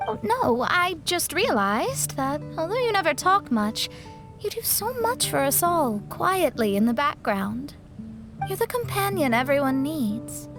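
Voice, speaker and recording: A woman's voice: high-pitched, sing-song, measured pace, over music, full character voice. A woman voicing a proud young female astrologer in an anime-style video game, articulate, earnest and slightly haughty. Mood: sincere.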